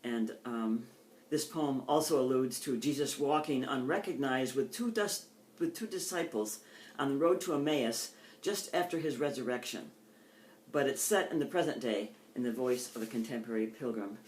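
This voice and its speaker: Older woman, American accent, low voice, poet, deliberate phrasing, informal poetry reading. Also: a little mellow, a little hiss or hum. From United States. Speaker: poet reading own work.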